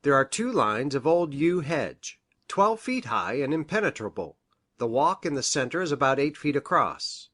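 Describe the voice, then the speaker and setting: A woman acts out a grave gentleman doctor from a detective storybook. He speaks formally and precisely, in measured sentences, with a lively rise and fall in pitch. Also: full character voice. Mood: matter-of-fact. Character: grave, hesitant male country doctor, troubled witness. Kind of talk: audiobook character reading.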